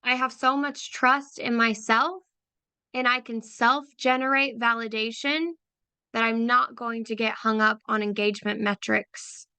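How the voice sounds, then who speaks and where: Slow, soft-spoken woman guiding a meditation. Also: fairly high pitch, fairly even intonation, smooth, no echo. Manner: soft, unhurried, affirming, repeating phrases. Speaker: meditation guide for creative business owners. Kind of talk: slow guided visualization.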